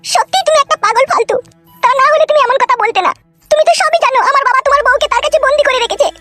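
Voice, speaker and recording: Woman playing a very high-pitched, lovesick cartoon girl. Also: racing, bright, forceful tone, no room sound, faint music behind. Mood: pleading. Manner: pleading, coaxing, insistent. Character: lovesick, pushy young daughter of a tyrant politician. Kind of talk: amateur romantic comedy cartoon dub.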